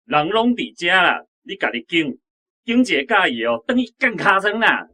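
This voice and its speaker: An actor playing a curt, commanding army officer instructing recruits in a radio drama, with a Taiwanese accent. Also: male voice, high voice, big pitch swings, normal speed, pushed and bright, full character voice. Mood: angry.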